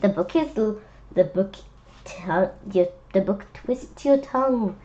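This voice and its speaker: A woman's voice: medium pitch, a little slow, mellow, lo-fi sound. A woman reading a children's book aloud, adding chatty, playful asides and speaking directly to young listeners.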